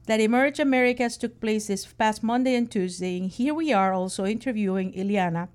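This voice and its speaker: An upbeat woman hosts an interview show, leaning on emphatic phrasing and frequent affirmations. Her voice sits at an ordinary, middle pitch for a woman. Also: darkish tone. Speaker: host.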